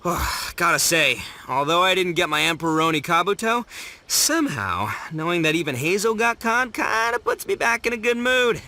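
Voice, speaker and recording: A man's voice: sits high, lively intonation, moderate pace, sharp tone, a little hiss or hum, exaggerated voice. A man voicing a brash, dim but big-hearted young gang boss in a video game, bombastic and slangy. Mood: upbeat, sincere. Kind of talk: animated video game voice acting.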